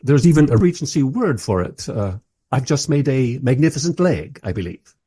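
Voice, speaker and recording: Man playing a wry, husky-voiced director narrator. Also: mid-range voice, sing-song, normal speed, warm tone, no echo, clean studio sound. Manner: wry, conversational asides. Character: wry male film director narrating his encounter, politely exasperated. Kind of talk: radio play narration and dialogue.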